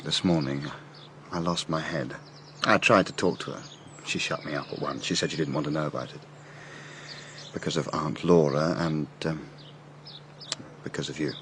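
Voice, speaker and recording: An actor playing a troubled, conflicted young gentleman in a British radio drama, well-spoken and earnest, with an English accent. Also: extremely low pitch, fairly even intonation, normal speed, long pauses, slight background noise. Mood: anguished, confessing.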